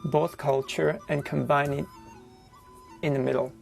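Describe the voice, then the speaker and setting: A man with a Malaysian accent, speaking English as a second language, talks personally and without a script, haltingly searching for words. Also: on the high side, level tone, vocal fry, soft background music. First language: not English. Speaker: visual artist interviewed for a TV profile. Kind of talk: unscripted personal storytelling and explanation of own work.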